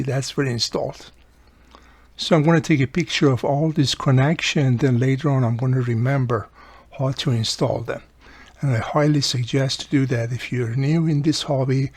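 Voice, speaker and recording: Gravelly, husky man giving a repair tutorial. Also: mid-range voice, dry recording. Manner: explains step by step, repeats key points. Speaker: radio repair video host. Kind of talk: casual hands-on repair tutorial.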